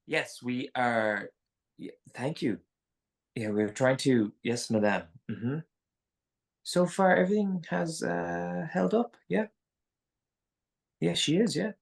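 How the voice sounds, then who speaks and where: An actor playing a flustered, deferential regional director who stammers, in a live screenplay table read. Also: male voice, on the high side, vocal fry, heavily acted. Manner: halting, stammering.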